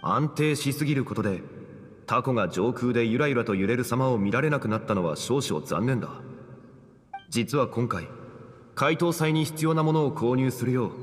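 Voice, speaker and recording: An actor playing a calm, dignified gentleman in an anime game, speaking formally and in measured, old-fashioned phrases. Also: mid-range voice, big-room sound, close to an everyday voice. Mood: calm, courteous. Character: calm, dignified gentleman, an unhurried scholarly consultant.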